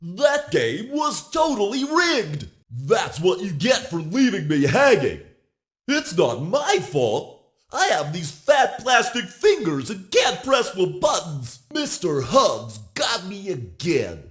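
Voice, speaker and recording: A man's voice: high-pitched, huge pitch swings, takes it slowly, fairly bright tone, full character voice. A man voicing a clumsy, childish plastic animatronic boy in a horror game, whiny and petulant. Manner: complaining, petulant. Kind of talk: horror game character lines.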